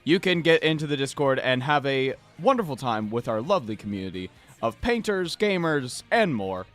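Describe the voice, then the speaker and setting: A man with a slightly hoarse voice, hosting a casual livestream where he paints miniatures and chats playfully with viewers. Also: mid-range voice, sing-song, moderate pace, a bit bright. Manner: playful, chatty, addresses chat directly. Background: faint background music.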